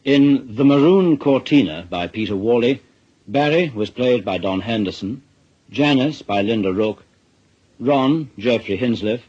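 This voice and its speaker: A man's voice: medium pitch, a little mellow, breathy edge, dull audio. A man reading scripted radio credits as a formal announcer.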